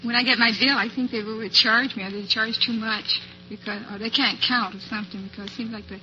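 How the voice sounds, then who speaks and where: A woman's voice: fairly high pitch, steady tone, fast, bright, forceful tone, slight background noise. A woman, a housewife, talking off the cuff as a contestant on a radio quiz show. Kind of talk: unscripted quiz show chat. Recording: muffled old-time radio recording.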